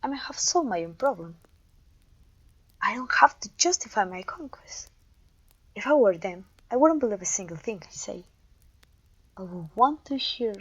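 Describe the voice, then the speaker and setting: A woman's voice: medium pitch, breathy edge, dull audio. A woman playing a haughty, domineering evil queen and sorceress in a scripted roleplay audio, imperious, scornful and theatrical. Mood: pensive, haughty. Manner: imperious, scornful, theatrical, with sighs and disgusted groans.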